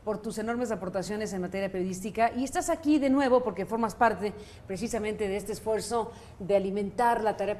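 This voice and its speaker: A woman's voice: mid-range voice, a little hiss or hum. Woman hosting an interview, formal and direct. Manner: warm, formal welcomes and direct questions with light laughter. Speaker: interviewer host.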